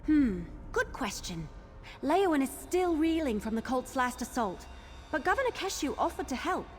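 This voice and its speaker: A woman playing a plucky, earnest young lizardfolk investigator in a fantasy video game, brisk and informal. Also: high voice, sing-song, in a large hall, soft background music. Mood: decisive, earnest.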